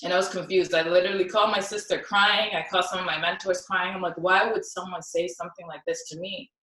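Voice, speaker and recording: A woman's voice: fairly low pitch, fairly even intonation, fast talker, sharp tone. A young woman from South Sudan giving an earnest personal talk at a symposium, with many ums. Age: young adult. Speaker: symposium speaker. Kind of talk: personal talk with recited poem. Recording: echoey room, distant mic.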